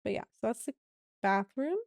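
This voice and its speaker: A young woman with a raspy voice, casually narrating a tour of a house she built in a game, rambling with many fillers. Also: on the high side, lively intonation, normal speed, a little mellow, no room sound.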